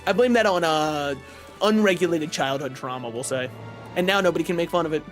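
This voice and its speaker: A young man streaming a game from his apartment, chatting casually in a rambling, self-mocking way with a booming voice. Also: fairly quick. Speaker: vtuber streamer. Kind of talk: casual live-stream chat while gaming. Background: faint game music.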